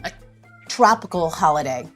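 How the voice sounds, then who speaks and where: A woman's voice: sits low, animated, moderate pace, fairly bright tone, faint music behind. A woman hosting a podcast in casual, bantering talk, informal and easily amused. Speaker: podcast host.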